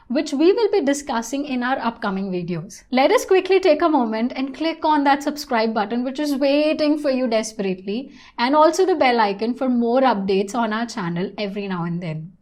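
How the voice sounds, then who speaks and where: A woman presenting an online English lesson in a scripted, instructional style, with deliberate and emphatic phrasing. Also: high voice, a little mellow.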